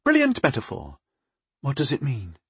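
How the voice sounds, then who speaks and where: A man's voice: mid-range voice, huge pitch swings, normal speed, fairly bright tone, slightly rough, no room sound, pitched above the performer's own voice, heavily acted. A man voicing a skeptical, exasperated goddess in a fantasy audiobook, questioning and chiding. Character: skeptical, exasperated patron goddess, a divine woman.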